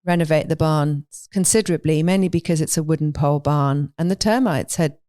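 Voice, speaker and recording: A woman from London with a London English accent, talking thoughtfully and in a measured way as an interview guest. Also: sits low, dark tone, no echo, studio quality. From London, England. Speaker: podcast guest, animal sanctuary owner. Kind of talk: reflective interview conversation.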